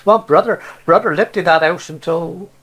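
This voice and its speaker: Older Cornish man, higher-pitched voice, reminiscing. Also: normal speed, fairly bright tone. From Cornwall, England. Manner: rambling storytelling, frequent "see" and "you know". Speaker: interviewee, local dialect speaker. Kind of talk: casual reminiscing.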